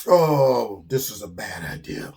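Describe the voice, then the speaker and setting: Man playing a scrawny, high-voiced young bird. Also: expressive, moderate pace. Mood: nervous resolve. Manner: hesitant, casual, exasperated protesting. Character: scrawny timid young male bird, defensive, plucky.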